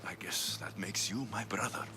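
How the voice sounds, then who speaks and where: A man's voice: fairly low pitch, moderate pace, whispered, music in the background, lightly acted. A man playing a bold, guarded mercenary warrior in a video game, with a cool, direct manner. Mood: quietly intense. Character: bold, wry Greek mercenary warrior, male, guarded and determined. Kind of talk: dramatic video game dialogue.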